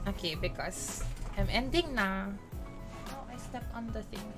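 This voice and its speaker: A woman's voice: medium pitch, measured pace. A woman streaming a game live, chatting playfully to herself and her viewers. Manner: chatty, playful, code-switching, self-talking. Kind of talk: casual live gaming chatter. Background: game music.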